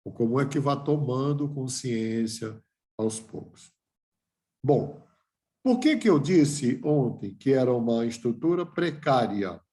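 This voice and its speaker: A man's voice: mid-range voice, sing-song, slow, dark tone, roomy sound. An older man giving a reflective lecture to a class, asking himself questions and restarting phrases.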